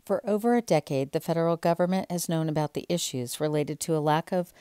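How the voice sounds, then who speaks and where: A woman's voice: sits low, a little fast, warm tone, clear, resonant tone, no echo, studio quality. A woman in her thirties, an independent court reporter, speaking earnestly and pointedly to camera in an indoor hallway. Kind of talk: to-camera commentary.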